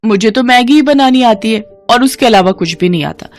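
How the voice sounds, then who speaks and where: A woman's voice: fairly high pitch, lively intonation, moderate pace, voice pushed up. A woman voicing a wealthy, bubbly college girl in a cartoon story, chatting in a chummy, breezy way over music.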